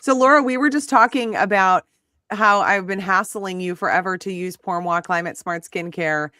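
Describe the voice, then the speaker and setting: A chatty, enthusiastic woman hosts a live online show. Her voice sits at a middling pitch, and the sound is dry and close, with no room echo. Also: moderate pace, sharp tone. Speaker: host. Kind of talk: live-stream chat.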